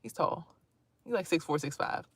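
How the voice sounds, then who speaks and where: A woman's voice: low-pitched, normal speed, breathy edge, dry recording. Blunt chatty woman in her thirties. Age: 30s. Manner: blunt, animated, swearing freely, chatty asides to viewers. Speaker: vlogger streaming to viewers. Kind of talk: casual live-stream talk.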